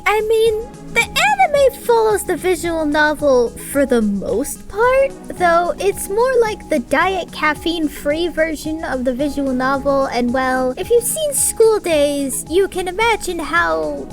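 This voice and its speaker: A woman's voice: high voice, very animated, a little slow, faint music behind. A woman giving a sarcastic, swearing anime review with mock-dramatic asides. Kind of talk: sarcastic video review commentary.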